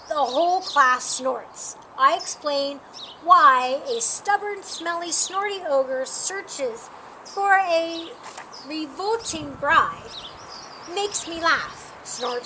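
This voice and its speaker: A woman reading a children's picture book aloud in an expressive, deliberate way. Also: sits high. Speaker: storytime reader. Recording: clearly noisy, with birdsong behind. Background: birds chirping.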